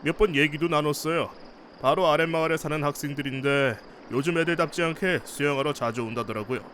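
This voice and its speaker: A man's voice: a little high, fairly quick, a bit bright, a little hiss or hum, close to an everyday voice. A man playing an easygoing, chatty night angler in a visual novel, with a folksy, teasing manner. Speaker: supporting character in a visual novel. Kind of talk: acted casual dialogue. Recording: faint night insect ambience behind voice.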